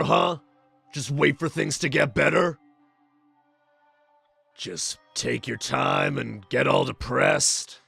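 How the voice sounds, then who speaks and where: A man's voice: mid-range voice, vocal fry, a bit bright, husky, exaggerated voice. A man voicing a brash, macho teenage biker delinquent in an anime fan dub. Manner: brash and macho, calls people bro.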